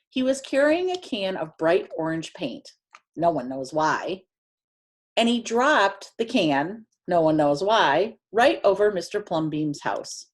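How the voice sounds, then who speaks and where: A woman reading a children's picture book aloud in an expressive, emphatic way, drawing out phrases for young listeners. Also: medium pitch. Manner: animated, emphatic storytelling with drawn-out phrases.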